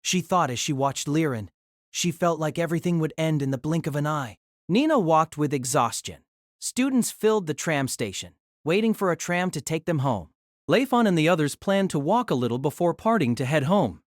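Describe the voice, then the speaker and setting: Woman narrating, expressive range, dry sound. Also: low voice, normal speed, dry recording. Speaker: narrator and reader. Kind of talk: scripted audiobook narration of a light novel.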